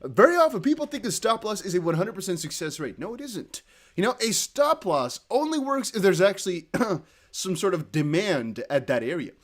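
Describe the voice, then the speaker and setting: Lively Dutch-accented man chatting on stream. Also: sits high. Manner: chatty, animated, reads viewer comments aloud with quick laughs. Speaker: crypto livestream host. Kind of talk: casual livestream chat reading comments.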